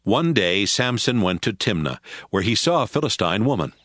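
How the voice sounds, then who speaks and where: A man's voice: fairly low pitch, very animated, normal speed, fairly bright tone, dry recording. A man giving dramatic scripted narration for a Bible audio drama, as storyteller.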